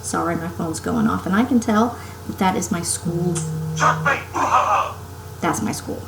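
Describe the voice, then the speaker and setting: A woman's voice: on the low side, a little slow. A woman teacher reading a story aloud to children expressively and emphatically, with casual asides. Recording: roomy echo, faint background noise.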